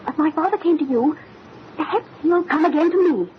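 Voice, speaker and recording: An actress playing a determined, grieving young daughter with an intense, imploring manner in 1930s radio horror. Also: female voice, sits high, expressive, fairly quick. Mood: accusing, determined. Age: young adult. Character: determined, grieving young daughter avenging her murdered father. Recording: muffled old radio recording with hiss.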